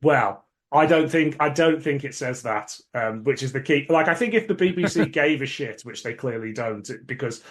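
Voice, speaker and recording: A man's voice: medium pitch, lively intonation, normal speed, few pauses. A man hosting a podcast in casual chat, informal and opinionated, swearing casually as he talks. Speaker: podcast host. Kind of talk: casual chat about cult sci-fi dramas.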